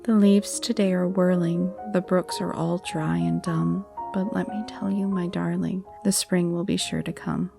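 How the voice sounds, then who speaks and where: A woman reads verse in a lowish voice with a noticeable creak. She delivers it tenderly and expressively over clearly audible music. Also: level tone, moderate pace, few pauses, warm tone. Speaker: poetry reader. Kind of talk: expressive poetry recitation. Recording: music under the voice.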